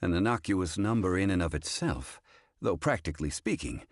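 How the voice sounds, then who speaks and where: An actor playing a dignified, composed gentleman scholar in a video game, speaking formally and courteously. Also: sits low, animated, moderate pace, no room sound, studio quality. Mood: pondering.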